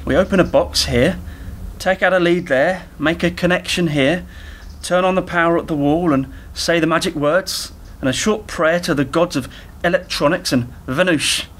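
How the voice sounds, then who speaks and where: An actor playing an eager, naive younger techie in a radio play, rambling and over-explaining earnestly. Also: male voice, a little high, moderate pace, a bit bright. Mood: chatty, keen. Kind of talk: community radio play dialogue.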